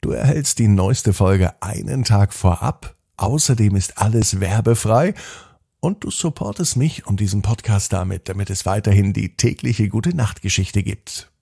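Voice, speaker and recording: A man hosting a children's podcast, gently narrating a bedtime story and guiding kids through a stretching routine. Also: lowish voice, moderate pace, vocal fry, breathy edge.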